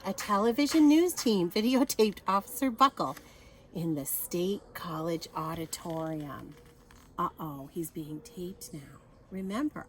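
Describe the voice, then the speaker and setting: A woman reading a picture book aloud to children in an expressive manner, adding asides and questions for her young listeners. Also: mid-range voice, vocal fry, slight background noise.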